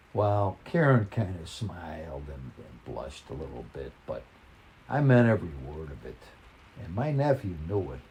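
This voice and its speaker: An older man reading a personal story in a folksy, plain-spoken, conversational way, with halting, reflective pauses. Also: sits low, sing-song, darkish tone, slight background noise, poor audio.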